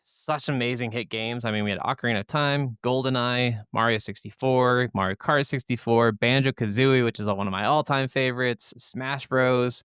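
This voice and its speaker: A man's voice: mid-range voice, fairly even intonation, moderate pace, few pauses, a bit bright, clean tone, dry recording, clean studio sound. A man in his thirties co-hosting a podcast in casual conversation. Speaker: podcast co-host. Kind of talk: casual chat.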